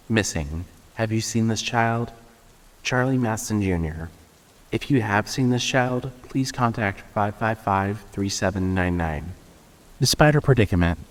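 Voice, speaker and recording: Casting: male narrator for scripted horror audio fiction, somewhat low pitch, storytelling delivery. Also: a little hiss or hum.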